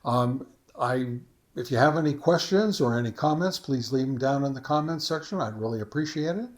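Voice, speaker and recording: A man hosting a casual how-to video, talking informally and somewhat haltingly as he explains each step. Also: mid-range voice, expressive. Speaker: host of a ham radio how-to channel. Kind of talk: casual tutorial narration.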